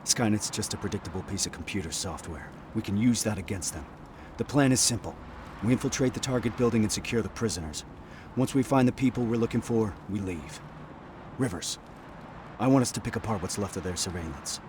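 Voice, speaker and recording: A man playing a confident, resolute resistance commander giving deliberate briefings in a video game cutscene. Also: on the low side, lively intonation, lightly acted. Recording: clear noisy outdoor ambience.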